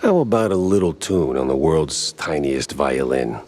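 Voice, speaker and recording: An actor playing a wisecracking, deadpan hard-boiled mouse detective in a noir cartoon game. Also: male voice, a bass voice, moderate pace. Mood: wry. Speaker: private investigator protagonist.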